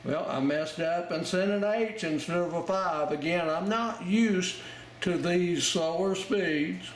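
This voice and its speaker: A man giving an informal ham radio lesson, talking in halting pieces as he explains a demonstration step by step. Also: high-pitched. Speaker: amateur radio instructor. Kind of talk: informal instructional narration over a demonstration. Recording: roomy, loud noise, radio clicks and tones.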